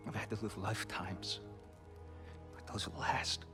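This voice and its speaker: An actor playing a wounded, volatile exiled god in a theatrical, emotional video game performance. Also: male voice, lowish voice, measured pace, over music, close to an everyday voice. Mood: remorseful, tender. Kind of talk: cinematic video game acting.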